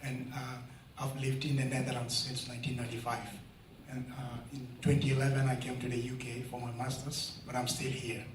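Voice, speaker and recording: A man's voice: medium pitch, fairly quick, background noise. A man in his forties from South Sudan, introducing himself hesitantly and then reading a short story aloud. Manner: flat, hesitant speech with many "uh"s. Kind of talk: public reading of prose. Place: indoor event room. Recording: roomy hall, distant mic.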